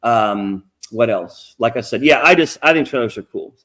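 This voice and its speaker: A middle-aged man with a nasal voice hosting a casual livestream interview, rambling and swearing freely as he gets animated. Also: mid-range voice, moderate pace. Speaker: podcast host.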